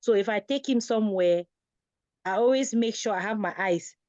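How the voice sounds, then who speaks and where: A woman's voice: medium pitch, normal speed, darkish tone, no room sound, muffled recording. A woman, a guest parent on a talk show, telling personal stories in a conversational way.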